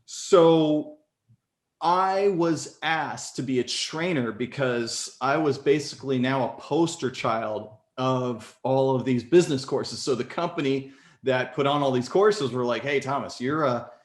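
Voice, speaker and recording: A man in his forties telling his story as a podcast guest in casual conversation, animated and leaping up in pitch when excited. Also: a little slow. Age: 40s. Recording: echoey room.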